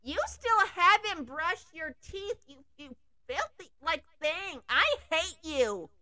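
A woman putting on a shrill teenage valley girl who yells in a loud, high voice, peppered with "like". The tone is sharp and bright. The recording is close and dry. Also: big pitch swings, slow, dry recording, full character voice. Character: shrill valley-girl teen yelling at her ex.

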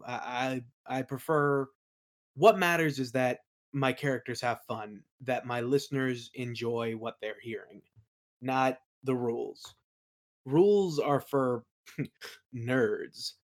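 A man's voice: medium pitch, takes it slowly. A man chatting casually as an interview guest, telling colloquial, rambling stories as a game master. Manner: rambling, colloquial, acts out quoted remarks. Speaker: guest, game master and audio play creator. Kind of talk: casual chat, anecdotes.

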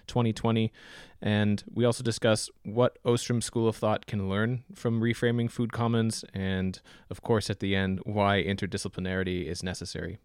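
A man hosting a podcast, reading a measured introduction and asking questions. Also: on the low side, a little mellow, clean tone, dry recording, clean studio sound. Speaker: podcast host.

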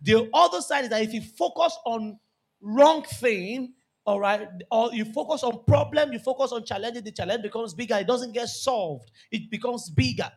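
A Nigerian man preaching a lively church sermon, repeating key phrases and joking with the congregation. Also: very high voice, normal speed, slightly rough. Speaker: pastor.

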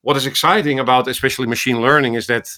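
A man's voice: mid-range voice, steady tone, quick pace, sharp tone. A middle-aged man with a Dutch accent, giving long, thoughtful answers as an interview guest with small restarts. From Netherlands. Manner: talkative, explains at length with small restarts. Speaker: guest, ophthalmologist and AI researcher.

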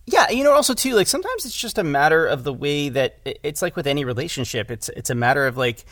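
A man's voice: fairly high pitch, very animated, quick pace, a bit bright, no echo. Man chatting casually, dry close sound. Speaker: podcast guest, audio drama director. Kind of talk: casual conversational discussion.

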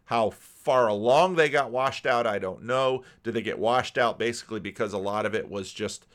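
A man's voice: medium pitch, moderate pace, a bit bright. A man giving casual commentary and reading aloud, bookish and given to digressions. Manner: bookish, digressive, stopping to comment on what is read. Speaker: video commentary host.

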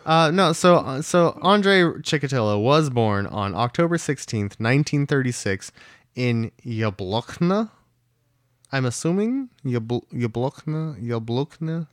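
A man's voice: medium pitch, expressive, a little slow, no echo, studio quality. A man hosting a podcast in an easygoing, chatty way, chuckling along. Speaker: podcast host. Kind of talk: casual podcast chat.

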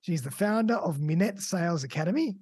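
A woman hosting a casual podcast interview, upbeat and quick with encouraging interjections. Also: lowish voice, lively intonation, darkish tone, breathy edge, no echo, studio quality. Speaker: podcast host.

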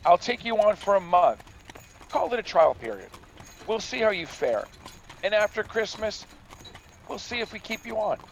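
A man playing a gruff, demanding farmer, dull lo-fi. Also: high voice, sing-song, moderate pace, long pauses, a little hiss or hum. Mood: stern, reserved. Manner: gruff, curt, commanding. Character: stern, demanding farmer and reluctant employer. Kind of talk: radio drama acting.

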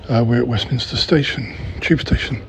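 A male podcast host with a croaky voice, chatting casually to listeners while waiting for a train. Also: mid-range voice, moderate pace. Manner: chatty, rambling asides. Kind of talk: casual vlog-style update to listeners. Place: underground train platform. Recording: muffled recording in a busy station.

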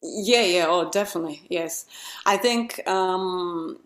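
A woman's voice: on the low side, slow, poor audio. A woman singer as an interview guest, talking things through in loose, searching phrases. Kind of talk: casual conversation.

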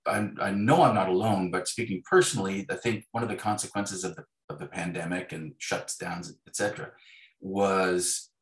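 A middle-aged Canadian man talking reflectively and casually as an interview guest, halting and searching for his words. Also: deep voice. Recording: roomy, echoey voice pickup.

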